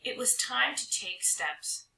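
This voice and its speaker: Animated, very bright-voiced woman reading a storybook. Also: medium pitch, slow, creaky. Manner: dramatic, with stretched words and hushed drops. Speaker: storybook reader. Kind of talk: expressive children's read-aloud narration. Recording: roomy echo.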